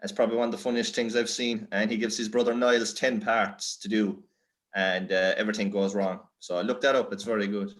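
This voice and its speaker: A man's voice: lowish voice, little pitch movement, a little fast. An Irish man chatting informally and slangily on an online group call. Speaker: workshop speaker. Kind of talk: casual, informal chat.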